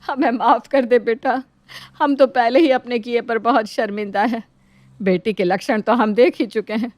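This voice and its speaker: A woman's voice: fairly high pitch, fairly even intonation, moderate pace, a little mellow, dry recording, close to an everyday voice. A woman voicing a remorseful aged parent in a moral story, apologetic and pleading. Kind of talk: dramatic moral-story acting.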